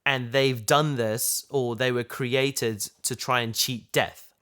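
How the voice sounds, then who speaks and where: Male writer-host, medium pitch, informal discussion, halting delivery with repeated starts. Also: fairly even intonation, a bit bright, smooth. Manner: halting, repeats and restarts phrases while thinking aloud. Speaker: writer and host of a sci-fi audio drama discussion. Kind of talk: casual discussion.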